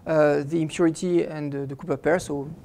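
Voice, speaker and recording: A man's voice: on the high side, steady tone, darkish tone, slight background noise. A middle-aged man giving a physics lecture in a lecture hall, speaking at a lectern microphone and often stopping and restarting his phrases. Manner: halting, stops and restarts phrases often while explaining. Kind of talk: academic lecture.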